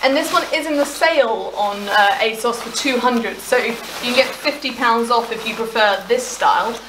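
A woman's voice: fairly high pitch, moderate pace. A young woman chatting casually and enthusiastically through a clothing try-on at home, often trailing off mid-thought. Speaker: fashion vlogger. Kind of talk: casual try-on haul chat. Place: hallway at home, indoors. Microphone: camera across the room. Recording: echoey hallway, faint hiss.

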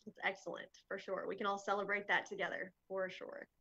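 Friendly woman interviewer, bright punchy voice, kinda muffled lo-fi audio. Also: mid-range voice, level tone, a little fast, gravelly. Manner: chatty, friendly, laughs easily. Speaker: chamber of commerce interviewer. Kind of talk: casual video interview.

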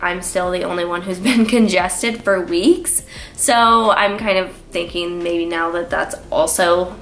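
A woman's voice: lowish voice, fairly bright tone. Congested young woman chatting to camera. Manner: chatty, lots of filler "like". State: congested, stuffy nose. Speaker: vlogger. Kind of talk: casual chat to camera. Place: small room indoors. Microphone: camera on a tripod, framed from the waist up.

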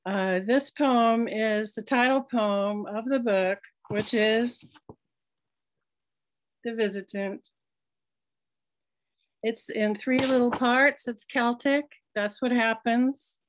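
Older female poet, unhurried deliberate reading, dry un-roomy webcam audio. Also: fairly high pitch, fairly even intonation. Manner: slow, measured recitation. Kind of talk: reading her own poetry. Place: home room with curtains. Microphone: laptop webcam on video call.

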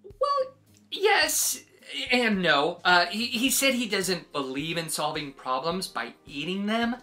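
A woman's voice: lowish voice, big pitch swings, slow, sharp tone, heavily acted. A woman voicing a chatty, meddling sheep with a hesitant, wheedling manner in a children's read-aloud. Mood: coaxing. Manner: hesitant, wheedling, full of suggestions. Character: chatty, meddling, nervously cheerful storybook sheep. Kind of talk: animated children's picture-book read-aloud.

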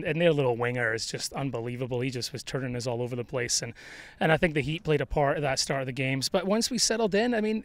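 A man's voice: medium pitch, no room sound. A middle-aged man, a head soccer coach, giving a candid, conversational postgame interview outdoors on a field into a handheld microphone, with plenty of fillers. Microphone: handheld mic held by interviewer.

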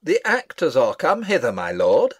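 Old courtier, Shakespearean reading, pompous, fussy, declamatory, hugely animated intonation, dry recording. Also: male voice, on the high side, moderate pace, breathy edge, dry recording, heavily acted. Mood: self-important, eager. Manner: pompous, declamatory, long-winded. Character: pompous, fussy old male royal counsellor.

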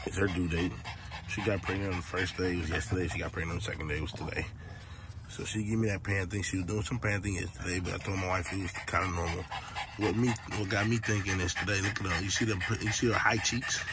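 A man's voice: sits low, fairly even intonation, fast, heavy background noise. Sick man vlogging from his car. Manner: chatty, addresses viewers as y'all, clears throat often. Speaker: dog breeder vlogger. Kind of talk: casual vlog to camera. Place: parked car. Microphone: phone held at arm's length.

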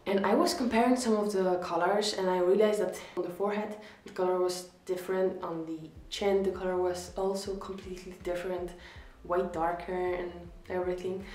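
Young Polish woman, art-channel host, chatty and encouraging, lowish voice, echoey room mic. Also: darkish tone. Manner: chatty, encouraging, repeats "really". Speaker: art YouTuber reviewing viewer drawings. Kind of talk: casual instructional talk to camera. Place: small indoor room against a plain wall.

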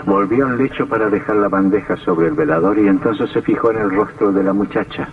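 A man's voice: on the low side, brisk, recorded from a distance, close to an everyday voice. An actor playing a solemn, ardent man of a gothic romance in declamatory old radio melodrama style. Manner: dramatic, declamatory. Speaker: narrator and male lead. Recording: muffled old radio broadcast, music behind.

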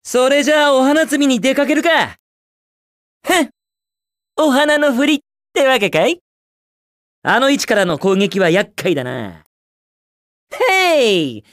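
A man's voice: very high voice, expressive, a little slow, long pauses, fairly bright tone, no echo, studio quality, full character voice. A man voicing a cocky, carefree young hedgehog speedster hero in a video game, with a cool, brash, quippy delivery. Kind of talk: Japanese video game character voice lines.

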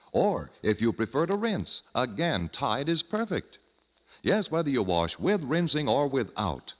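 A man's voice: mid-range voice, a bit bright. A man announcing an old-time radio commercial with an emphatic, polished sales delivery. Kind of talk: 1940s-50s radio advertising pitch. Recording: dull, echoing old radio recording.

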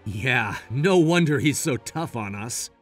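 A man voicing an earnest, loyal young gangster in an anime-style cartoon, presenting to viewers with animated delivery. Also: medium pitch, slow pace, soft background music.

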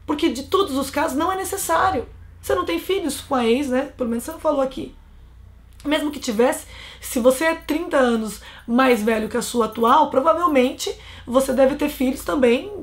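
A woman's voice: high-pitched, moderate pace. A woman in her thirties from Brazil, giving blunt, scolding relationship advice straight to camera from a small bedroom. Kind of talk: casual advice monologue to camera.

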